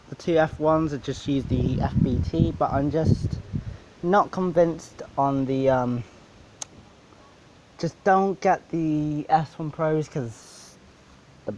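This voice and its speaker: A man with a fairly high voice talks through his DJ setup in a casual, unscripted way. He speaks slowly and haltingly, rambling from one point to the next in blunt, informal language. There is some light background noise with clicking. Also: a little mellow, poor audio. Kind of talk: casual unscripted gear walkthrough.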